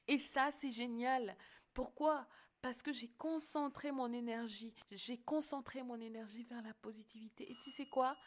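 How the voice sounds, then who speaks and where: A woman hosting a motivational coaching podcast, speaking emphatically and often checking in with the listener. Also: fairly high pitch. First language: French-English bilingual.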